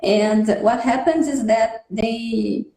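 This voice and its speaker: A woman's voice: on the high side, steady tone, takes it slowly, warm tone. A woman in her thirties from Brazil, a native Portuguese speaker, chatting earnestly as a guest on a video call. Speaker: guest, English teacher. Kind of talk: casual interview chat. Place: home room with patterned wall. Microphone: webcam on video call. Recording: echoey room on video call.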